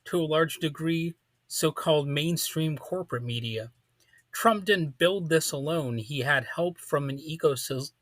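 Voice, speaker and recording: Bright-voiced man giving emphatic political commentary. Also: on the high side, no room sound. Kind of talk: political commentary monologue, part scripted.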